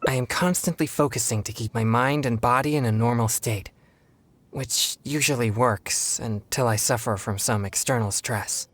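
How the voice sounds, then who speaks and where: An actor playing an earnest, polite young exorcist in a video game, speaking in a formal, measured, self-controlled way. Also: male voice, mid-range voice, a bit bright, natural voice. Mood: earnest, pensive.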